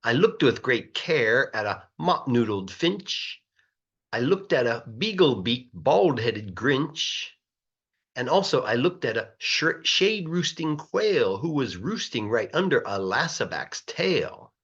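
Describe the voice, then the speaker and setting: A man reading a children's rhyming story aloud in a playful, rhythmic, expressive way, like a teacher. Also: mid-range voice, takes it slowly, slightly rough, highs cut off. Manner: animated, playful, rhythmic verse delivery.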